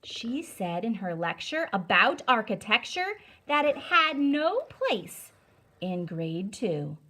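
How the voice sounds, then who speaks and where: A woman reading a storybook aloud to children as a teacher, deliberate, emphatic and instructive. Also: a little high, very animated, sharp tone.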